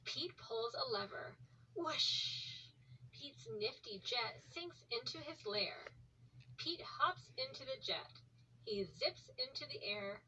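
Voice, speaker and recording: A woman's voice: high voice, animated, slow pace, muffled recording. A woman reading a children's picture book aloud as a read-along host, recorded at home on a camera. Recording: noisy, distant home recording.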